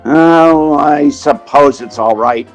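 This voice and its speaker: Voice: mid-range voice, slow, faint music behind, close to an everyday voice, rough recording. A performer playing a brash, colloquial theatrical agent who narrates and acts in an old-time radio comedy.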